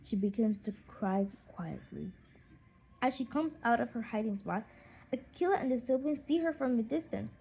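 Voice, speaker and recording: A woman reading a children's audiobook in an expressive, animated storytelling manner. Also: on the high side, normal speed, vocal fry, low-quality recording. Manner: animated storytelling with acted character voices. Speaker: audiobook narrator.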